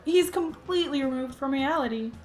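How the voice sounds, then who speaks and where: A woman playing an outspoken, skeptical reporter in a radio drama, forthright and sharp-tongued. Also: sits high, a little fast, lightly acted. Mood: poised, skeptical. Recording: music behind.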